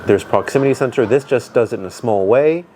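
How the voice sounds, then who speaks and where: A man with a booming voice giving a casual product review, thinking aloud with fillers and restarts. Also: fairly quick, warm tone, a little hiss or hum. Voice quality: booming deep voice. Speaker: tech reviewer. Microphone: dedicated microphone.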